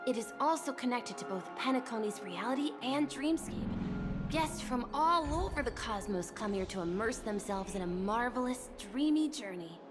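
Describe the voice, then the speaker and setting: A woman's voice: a little high, lively intonation, normal speed, natural voice. A woman playing a courteous, earnest, slightly hesitant young hotel bellboy in a video game. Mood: earnest, dreamy. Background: game music.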